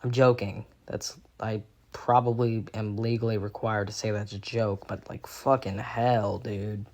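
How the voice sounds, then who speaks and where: A young man in an unscripted critical rant as a video commentator, halting and repeating himself as he gropes for words, exasperated and sweary. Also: fairly low pitch, little pitch movement, darkish tone. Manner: halting, repetitive, groping for words.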